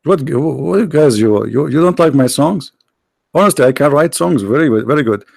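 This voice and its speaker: A man whose first language is Arabic hosting a live stream, talking sarcastically, halting often on fillers and now and then breaking into mock singing. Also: a little high, animated, vocal fry, darkish tone, no echo, studio quality. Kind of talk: mocking religious debate stream.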